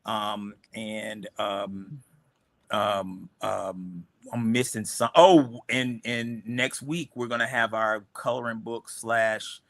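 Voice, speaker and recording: Bright-voiced man, halting and thoughtful. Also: on the low side, fairly even intonation, no room sound. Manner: halting, many ums and restarts. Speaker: comic creator and show host. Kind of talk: casual livestream chat.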